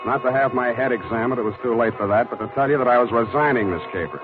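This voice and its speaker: A man's voice: mid-range voice, fast talker, close to an everyday voice. An actor with an American accent playing a cynical, wisecracking male private detective in a 1940s radio noir drama. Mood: dry, weary. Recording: muffled vintage radio broadcast with music.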